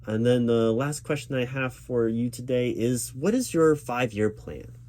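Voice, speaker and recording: A man's voice: medium pitch, measured pace, a little mellow. A man playing a recruiting manager in an ASMR interview roleplay, speaking aloud in a reassuring, patient and encouraging way. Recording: background noise with keyboard typing.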